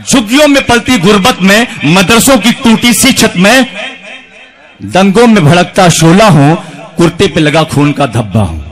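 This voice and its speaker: A man's voice: very high voice, fairly bright tone. A man reciting poems in rhythmic verse at a poetry evening, speaking into a stage microphone. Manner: rhythmic declamation with refrains. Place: large outdoor stage with a crowd.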